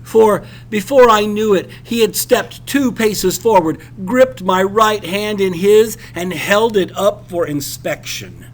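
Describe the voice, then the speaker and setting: A man reading a literary passage as expressive scripted narration, with dramatic, deliberate phrasing. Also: high voice.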